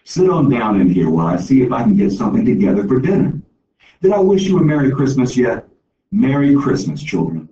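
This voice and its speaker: Storybook role: elderly woman, homespun and plain-spoken, warmly chatty, performed by a man, distant and echoey. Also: medium pitch, very animated, a little fast, muffled recording, natural voice. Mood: welcoming. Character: plain-spoken, warm, elderly woman welcoming holiday guests.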